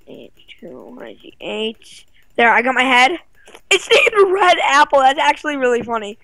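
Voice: high voice, big pitch swings, normal speed, bright, forceful tone, no echo, rough recording. A child or young teen chatting casually and playfully as a co-player in a game. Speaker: co-player guest. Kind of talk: casual gaming chat.

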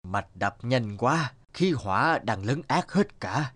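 Wise old village healer. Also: male voice, mid-range voice, expressive, takes it slowly, fairly bright tone. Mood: stern, concerned. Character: elderly village healer, a wise, stern teacher. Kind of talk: Vietnamese cartoon voice acting.

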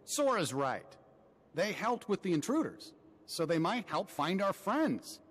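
Cowboy toy sheriff: high, animated, earnest, pleading, in a reverberant hall. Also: male voice, measured pace, husky, full character voice. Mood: persuading. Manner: pleading, emphatic. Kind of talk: animated video game dialogue.

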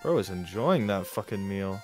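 Man, lowish voice, casual playthrough commentary, faint game music. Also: lively intonation, moderate pace. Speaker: live streamer.

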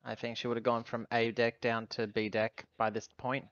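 Bright-sounding guy playing a tabletop game online, kinda dull dry audio. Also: mid-range voice, little pitch movement, moderate pace, no echo. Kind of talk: casual game chat.

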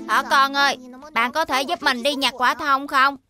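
A woman's voice: high-pitched, fairly even intonation, normal speed, sharp tone. A woman voicing a small, childlike squirrel with a plaintive manner in a dubbed children's cartoon. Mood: friendly. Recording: music behind the voice.

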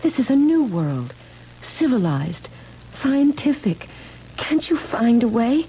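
A woman's voice: a little high, very animated, slow. An actress playing an anguished, pleading immortal young woman weary of life, in 1970s radio drama style. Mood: desperate. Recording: muffled, noisy 1970s radio recording.

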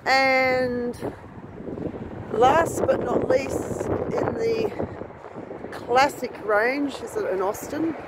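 A woman's voice: sits high, lively intonation, extremely slow, pauses often. A woman giving casual, admiring walk-along commentary for a vlog, musing aloud and asking rhetorical questions. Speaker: vlogger. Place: outdoor car wrecking yard. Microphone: handheld phone held while walking. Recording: windy, noisy outdoor phone recording. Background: wind noise.

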